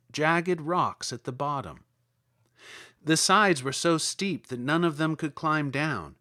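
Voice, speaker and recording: A man reading as a podcast host and storyteller, in expressive scripted storybook narration. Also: a little high, no echo, studio quality. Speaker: podcast host and audiobook reader.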